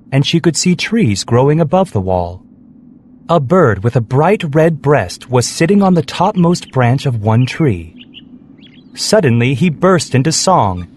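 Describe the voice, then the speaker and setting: Expressive male children's story narrator. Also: mid-range voice, a little hiss or hum.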